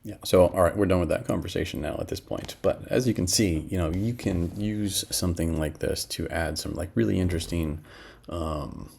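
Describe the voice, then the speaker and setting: A middle-aged man with a very low voice and a fair amount of fry. He talks casually through a screen-share demo, thinking aloud in a halting way. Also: darkish tone. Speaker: product demo presenter. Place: small indoor room at home.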